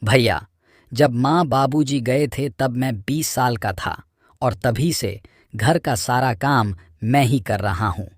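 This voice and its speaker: Man acting a meek, husky, slow-spoken younger brother. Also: mid-range voice, no echo, studio quality. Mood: meek protest. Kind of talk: children's cartoon story voice acting.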